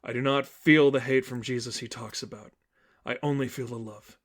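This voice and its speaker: A man voicing an earnest, quiet young female crimefighter with soft, simple phrasing in a story reading. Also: mid-range voice, steady tone, moderate pace, natural voice. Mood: quiet resolve.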